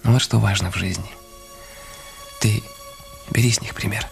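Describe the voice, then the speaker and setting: A man acting in a radio drama as an anxious, tender husband nursing his ailing wife, speaking haltingly and coaxingly. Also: fairly low pitch, fairly even intonation, creaky, soft background music. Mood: gently coaxing.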